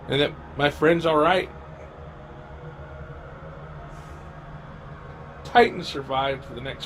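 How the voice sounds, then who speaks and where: A weary, grieving male survivor of the apocalypse , acted by an amateur man, narrates his lonely story in a somewhat high voice. He speaks haltingly, with dramatic pauses and repeated words. A faint noise sits under the home-recorded audio drama. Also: fairly bright tone, poor audio. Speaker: amateur voice actor. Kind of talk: scripted first-person audio drama narration.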